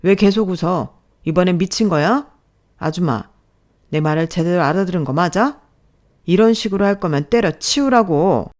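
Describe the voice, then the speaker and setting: A man's voice: on the high side, animated, moderate pace. Woman as male department manager, abusive, sarcastic, belittling, barked delivery, dramatized story dialogue. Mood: scolding, irritated.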